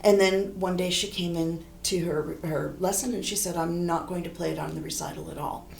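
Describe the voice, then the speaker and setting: A middle-aged woman with a low, gravelly voice talks through her ideas in a relaxed interview. She wanders and restarts as she thinks aloud. The room is echoey, with a faint hum behind her. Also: a little fast. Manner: thoughtful, rambling, frequent restarts. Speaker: horn player and composer.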